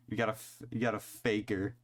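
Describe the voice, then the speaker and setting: Young guy, lowish voice, casual podcast co-host, swears freely, laughs easily. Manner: loose, swearing, quick to laugh. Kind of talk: casual chat.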